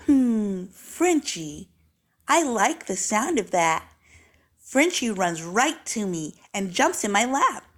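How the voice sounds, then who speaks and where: A woman voicing an excitable young girl who loves fancy words, narrating a storybook in a dramatic, precise manner. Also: mid-range voice, slow. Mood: pleased. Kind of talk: storybook read-aloud, first-person child narrator.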